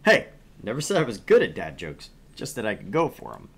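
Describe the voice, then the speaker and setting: A man's voice: on the low side, wildly swooping pitch, a little fast, vocal fry, a bit bright, slightly rough. A man streaming a game, chatting informally and jokingly as he plays and reads along. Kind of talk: casual livestream chat while playing and reading a game.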